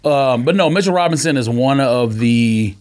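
A man's voice: medium pitch, animated, moderate pace, a little mellow. A man co-hosting a podcast with casual sports analysis, explaining his argument point by point. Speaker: podcast co-host.

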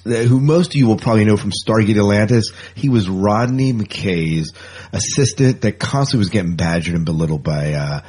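Low-voiced man, husky, joking podcast host. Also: moderate pace, a little mellow. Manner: jokey, rambling, repeats words for emphasis. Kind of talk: casual sci-fi fan podcast chat.

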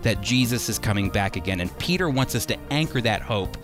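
Animated male Bible teacher. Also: fairly low pitch, brisk, over music. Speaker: radio host and Bible teacher.

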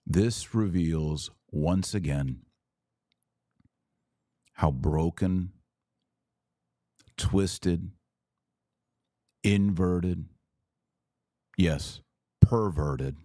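A man's voice: low voice, extremely slow, long pauses, warm tone, dry recording, clean studio sound. A man hosting a religious commentary podcast, speaking emphatically with rhetorical questions and repeated phrases for stress. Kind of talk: impassioned religious commentary to camera.

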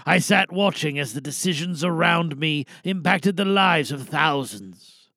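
Man acting weary older rebel, gravelly and deliberate. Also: fairly high pitch, expressive, sharp tone, no echo, clean studio sound, full character voice. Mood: rueful, reflective. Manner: deliberate, dramatic pauses. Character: weary, resolute older whistleblower from insurance, rallying a crowd. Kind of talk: dramatic monologue, speech to a crowd.

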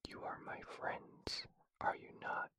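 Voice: slow, pushed and bright, no echo, clean studio sound. A reader voicing a crafty young storybook girl in a whisper, feigning alarm. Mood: urgent coaxing.

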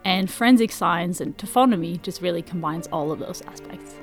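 A woman from Norway, a researcher giving measured, factual explanations as an interview guest. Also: lowish voice, loud music behind the voice.

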